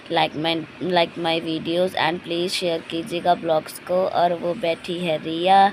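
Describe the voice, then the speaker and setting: A young woman with a hoarse, sore-throated voice, chatting casually in a vlog voice-over and speaking straight to her viewers. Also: on the low side, a little hiss or hum, rough recording. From Ludhiana, India. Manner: chatty, addresses viewers directly. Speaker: family vlogger.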